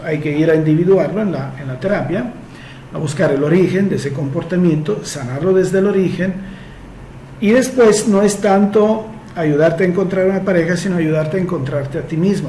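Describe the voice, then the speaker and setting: A man's voice: on the high side, a little mellow. Man, advice host, explanatory, echoey room, faint background noise. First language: Italian. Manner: explanatory, uses homely comparisons. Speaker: host answering listener questions. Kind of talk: advice talk reading a letter and answering.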